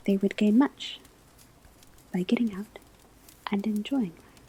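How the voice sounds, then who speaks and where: A woman playing a patient, formal witch-school professor in an ASMR classroom roleplay, whispering a measured, precise lecture. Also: mid-range voice, mellow, husky, poor audio. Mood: calm, instructive. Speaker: divination teacher in a roleplay.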